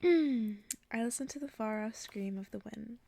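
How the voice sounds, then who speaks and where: A woman reading a story aloud as ASMR in an intimate, hushed whisper. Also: a little high, a little slow, gravelly. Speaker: ASMR reader.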